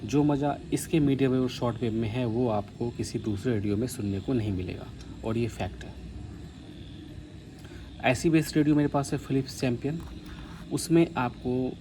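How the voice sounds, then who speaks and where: A man from India giving a casual, explanatory hobbyist demonstration, pausing now and then mid-sentence. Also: mid-range voice. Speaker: vlogger demonstrating a vintage radio. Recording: faint background noise.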